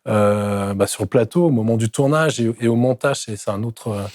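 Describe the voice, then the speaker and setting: A man, a screenwriter, talking in a casual interview, thoughtful and halting with many fillers. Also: fairly low pitch, darkish tone, no room sound, studio quality.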